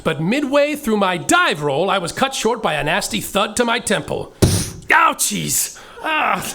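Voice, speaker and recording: A cocky, goofy space detective tells his tale in a very high, swooping voice. It is noir parody in a live stage musical, theatrical and jokey, with music playing behind him. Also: very animated, measured pace, creaky, pushed and bright, heavily acted. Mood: wry, breezy.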